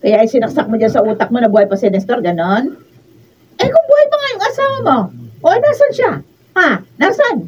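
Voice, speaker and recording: Blunt, exasperated Filipino village friend. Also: female voice, high voice, expressive, fairly quick. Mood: sarcastic. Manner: scolding, sarcastic. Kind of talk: Filipino radio drama acting. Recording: muffled, distant mic, background noise.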